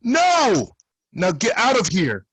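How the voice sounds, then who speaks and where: Guy doing gruff husky soldier type for a screenplay read, blunt, pitch jumps around a lot. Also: wildly swooping pitch, slow, fairly bright tone, no echo, exaggerated voice. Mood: dismissive, impatient. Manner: curt, blunt. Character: gruff middle-aged male government soldier, curt and dismissive.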